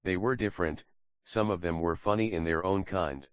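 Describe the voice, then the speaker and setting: A Russian-accented man in his fifties reads his own memoir aloud, with a very low voice and a plain, unhurried delivery. English is not his first language. The recording is dry and a little dull, with no room sound. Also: smooth, no echo. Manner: plain, unhurried reading with non-native phrasing. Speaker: author reading his memoir. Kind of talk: audiobook memoir narration.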